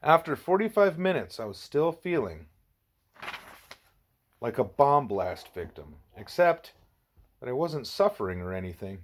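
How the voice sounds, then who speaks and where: A man's voice: mid-range voice, very animated, measured pace, pauses often. A man narrating a first-person novel as an audiobook reader.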